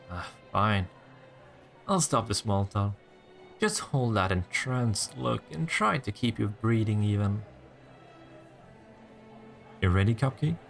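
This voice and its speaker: Audio drama vampire, played by a man: male, smug and sardonic flirt, creaky gravel in a middle register. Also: medium pitch. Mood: wry, conspiratorial. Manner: teasing, sardonic, swearing banter. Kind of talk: scripted roleplay audio drama.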